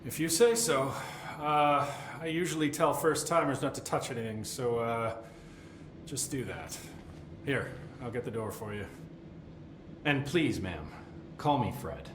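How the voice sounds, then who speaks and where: A man's voice: medium pitch, expressive, unhurried, pauses often, lightly acted. An actor playing a middle-aged, nervous and deferential facility supervisor in a video game, hesitant and rambling as he talks. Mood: nervous, apologetic. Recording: roomy echo, faint background noise.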